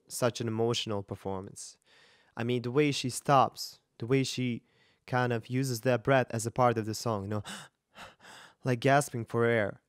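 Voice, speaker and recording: A man in his thirties talks casually as a vocal coach reacting to a performance. His medium-pitched voice is expressive and emphatic, with effusive phrasing. It is recorded close on a studio microphone in a small, dry-sounding room. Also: no room sound. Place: small indoor home studio. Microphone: large studio mic up close, headphones on.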